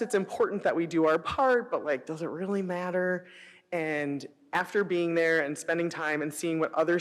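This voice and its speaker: American woman, middle-aged, animated storyteller, informal public talk, long hall reverb. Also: low-pitched. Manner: animated storytelling, acts out quoted dialogue. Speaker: city sustainability director presenting. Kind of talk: informal public presentation with personal anecdotes. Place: presentation hall before an audience.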